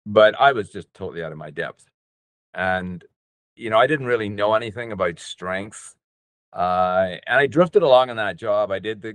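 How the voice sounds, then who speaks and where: Low-voiced older man. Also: smooth, no echo. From Northern Ireland. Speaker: podcast guest, business coach. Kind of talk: personal storytelling in an interview.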